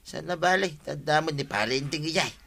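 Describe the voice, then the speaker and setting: A man acting an easygoing, excitable village husband in an Ilocano radio comedy drama, speaking with an Ilocano Filipino accent. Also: a little high, fairly quick, vocal fry, very noisy.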